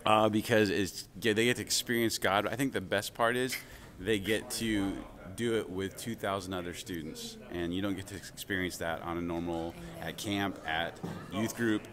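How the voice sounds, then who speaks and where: A middle-aged man answering a quick interview question in a plain, casual way after a halting start. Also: deep voice, steady tone, a crowd in the background. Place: large indoor ballroom. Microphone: phone held close.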